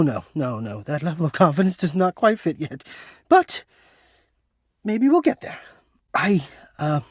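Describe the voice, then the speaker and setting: A man's voice: high-pitched, a little mellow, dry recording, clean studio sound. An actor playing a shy, insecure, lovestruck male recluse in a video game, speaking hesitantly with stammers and formal, genteel phrasing. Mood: flustered, delighted. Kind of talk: expressive video game voice acting.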